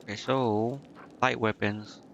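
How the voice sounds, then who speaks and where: A man's voice: lowish voice, fairly even intonation, takes it slowly, gravelly, fairly bright tone, highs cut off, slight background noise. A man streaming a video game, thinking aloud in a casual, hesitant way as he mutters to himself.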